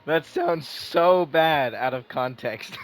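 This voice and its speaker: A man's voice: on the high side, lively intonation, slow pace. A young man giving casual, informal, reactive commentary as he plays through a video game. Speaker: game streamer. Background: faint game music.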